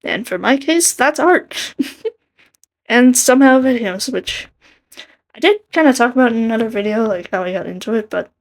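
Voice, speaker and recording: A soft-spoken young woman with a quiet voice, giving earnest casual commentary and laughing between thoughts. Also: a little high, lively intonation, moderate pace, long pauses. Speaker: art commentary video maker. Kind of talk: casual commentary over a speedpaint.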